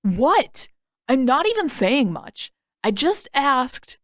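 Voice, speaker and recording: A woman's voice: high-pitched, big pitch swings, slow pace, fairly bright tone, dry recording, studio quality, pitched above the performer's own voice, heavily acted. Woman voicing a pushy, exasperated teenage brother. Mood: argumentative. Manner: insistent, exasperated prodding. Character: pushy, protective teenage boy, a suspicious older brother.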